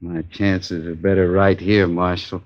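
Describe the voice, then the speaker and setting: A menacing gunslinger from a radio western. His low voice is unhurried and sure of itself, with a taunting edge. The old recording is dull and muffled. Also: flat delivery. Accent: American. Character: menacing, drawling outlaw gunman, coolly confident. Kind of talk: 1950s radio western acting.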